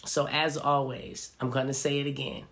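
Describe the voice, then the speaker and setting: Motivational vlogger, congested from a cold, gravelly edge, sincere direct address to camera. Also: mid-range voice, flat delivery, normal speed, a bit bright. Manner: earnest, speaks straight to viewers, fillers like "right" and "you know". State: stuffy from a cold. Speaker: social media vlogger. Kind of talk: reflective motivational talk to viewers.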